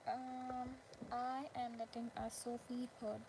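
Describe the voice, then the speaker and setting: A woman hosting a casual craft livestream, chatting with viewers in short, halting phrases with pauses. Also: on the high side, flat delivery. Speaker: live stream host, crocheter. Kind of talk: casual livestream chat with viewers, showing handmade work. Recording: clearly noisy background ambience.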